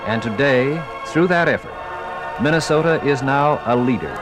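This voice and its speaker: A man's voice: medium pitch, expressive, moderate pace, over music. An American man giving grave, emphatic, dramatic scripted narration in the style of a 1950s radio documentary.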